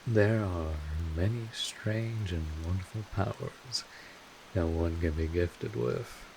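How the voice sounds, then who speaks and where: A man playing a gruff but caring vampire mentor in an audio roleplay, explaining things in an informal, rambling, mildly exasperated way. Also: low-pitched, slow pace, a little mellow, slight background noise, heavily acted, rough recording. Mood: instructive, matter-of-fact. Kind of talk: scripted audio roleplay addressed to the listener.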